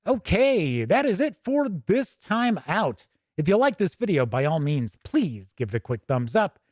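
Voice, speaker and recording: Guy doing a casual gaming stream, kinda high voice, folksy and chatty, really dry close sound. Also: very animated, unhurried, a little mellow, no echo. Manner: folksy, chatty, with jokey turns of phrase. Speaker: YouTube host of a tabletop gaming show. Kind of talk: casual livestream sign-off chat.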